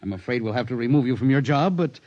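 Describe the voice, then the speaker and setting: A man's voice: medium pitch, animated, fast, lightly acted. Brief: man voicing a curt, flustered boss in a 1950s radio play, muffled broadcast sound. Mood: nervous. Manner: brusque, then nervously soothing. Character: impatient middle-aged company boss. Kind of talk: 1950s radio drama acting. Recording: dull old radio broadcast.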